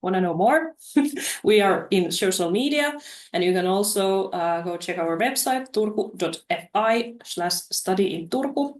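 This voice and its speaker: A woman presenting study opportunities over a webinar, halting, with many fillers and restarts. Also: medium pitch, expressive, reverberant.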